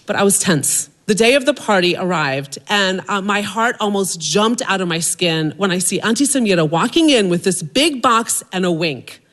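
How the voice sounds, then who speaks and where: A middle-aged woman from suburban Maryland tells a personal story onstage in a self-mocking, comic way. Her voice sits at an ordinary pitch for a woman, and her timing carries the jokes. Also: animated, moderate pace, hardly any pauses, a bit bright. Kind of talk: live humorous personal storytelling.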